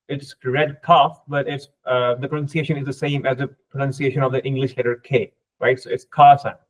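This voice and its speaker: A man's voice: a little high, darkish tone. Dull-sounding man explaining, native Urdu speaker. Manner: didactic, often checks with "right?". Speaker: podcast guest, researcher. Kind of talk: informal technical explanation.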